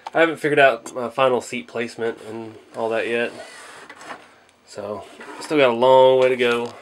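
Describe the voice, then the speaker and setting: A man talks through a hands-on hobby project in a casual vlog style. He sounds unassuming and a little hesitant, working out his thoughts aloud as he goes. His pitch sits in an ordinary middle range for a man. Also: a little hiss or hum. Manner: modest, hesitant, thinking aloud. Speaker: hobby channel host.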